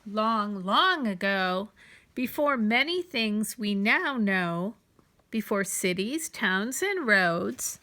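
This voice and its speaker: A woman reading a children's picture book aloud in an expressive, deliberate, emphatic storytelling manner. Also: mid-range voice.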